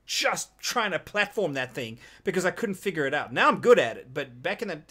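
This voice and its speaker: A man's voice: fairly high pitch, sing-song, brisk, pushed and bright, breathy edge. A man co-hosting a casual gaming panel discussion, often repeating and restarting his phrases as he talks. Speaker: podcast co-host.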